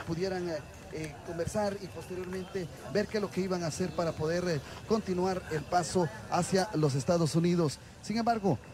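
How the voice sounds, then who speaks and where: A man reporting live from outdoors at night, hesitating often with filler sounds. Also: sits high. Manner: halting, many "eh" fillers. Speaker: field reporter. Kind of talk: live field report and interview. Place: outdoors at a border town at night.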